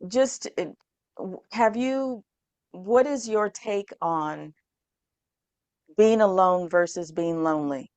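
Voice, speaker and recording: Slow, smooth-voiced woman host. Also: medium pitch, a little mellow, no room sound. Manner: slow and hesitant, restarting questions. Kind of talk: interview questions.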